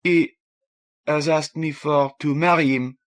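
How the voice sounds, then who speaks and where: A man voicing a timid, distraught French woman who speaks halting, stilted English in a small voice for an audiobook. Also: fairly high pitch, fairly even intonation, fairly bright tone, voice pushed up. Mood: miserable. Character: timid, distraught young Frenchwoman, tearful and devout in scruple.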